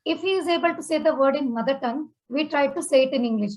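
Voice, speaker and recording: A woman's voice: high voice, quick pace. A woman from India who cares for a stroke survivor, explaining things plainly and directly in an online meeting. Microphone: video call.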